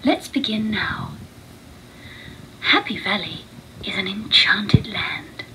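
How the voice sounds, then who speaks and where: A woman narrating a children's read-along storybook with deliberate, expressive phrasing. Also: medium pitch, whispered, rough recording.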